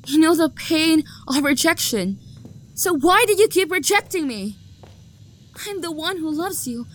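A woman's voice: a little high, slow pace, fairly bright tone, slight background noise. A young woman acting an obsessive, possessive lover who hunts for the one she adores, her voice sweet and menacing and often sinking to a whisper. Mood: pleading, unhinged. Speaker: voice actor. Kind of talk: audio roleplay acting.

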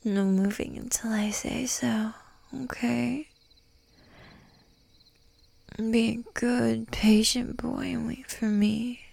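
A woman whispering close to the mic in an adult roleplay, dominant and teasing, giving commands in a breathy whisper. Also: fairly high pitch, steady tone, slow, long pauses, a little mellow, no room sound.

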